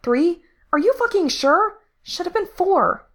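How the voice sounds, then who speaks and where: A woman voicing a tough, sharp-tongued woman rebel fighter with a brash, impatient manner in a story. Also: very high voice, very animated, unhurried, pitched above the performer's own voice, exaggerated voice. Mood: alarmed. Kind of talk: acted audiobook dialogue.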